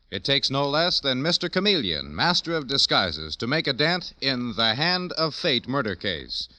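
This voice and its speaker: A man announces in classic 1950s radio style. His scripted delivery is bright and forceful, with very lively intonation at a medium pitch. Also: no echo.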